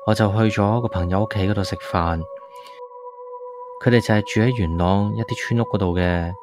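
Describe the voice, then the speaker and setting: A man's voice: on the low side, a little fast, natural voice. A man with a Hong Kong accent playing an uneasy city tenant, narrating a ghost story in a conversational, confiding way for a radio drama. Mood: matter-of-fact. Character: uneasy male city tenant moved to an old village house. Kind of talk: first-person ghost story narration, colloquial. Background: background music.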